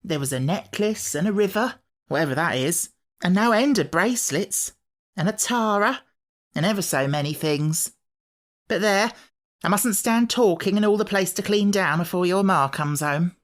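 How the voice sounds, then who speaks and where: A woman's voice: mid-range voice, lively intonation, normal speed, voice pushed up, lightly acted. A woman voicing a gossipy, darkly self-important working-class housemaid in a storybook. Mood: brisk gossip. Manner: dramatic, rustic turns of phrase.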